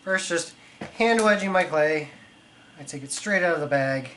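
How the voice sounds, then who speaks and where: A man with a cold and a raspy voice, casually explaining pottery as he throws pots on the wheel, thinking aloud with fillers and self-corrections. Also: fairly high pitch, normal speed. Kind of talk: casual instructional talk. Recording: loud background noise.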